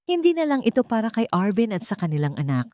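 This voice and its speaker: A woman with a Filipino accent narrates a dramatic scripted story with emotive, deliberate phrasing. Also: medium pitch, very animated, a little mellow, dry recording, clean studio sound.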